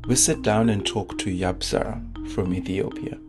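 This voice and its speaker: A man's voice: low voice, normal speed, echoey room, over music. A man hosting a podcast, asking questions and often repeating words as he starts them. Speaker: podcast host. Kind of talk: interviewing and scripted intro.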